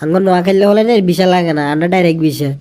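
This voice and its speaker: A woman voicing a brash young male bachelor, teasing and rough-tongued. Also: fairly low pitch, racing, natural voice. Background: cartoon background music.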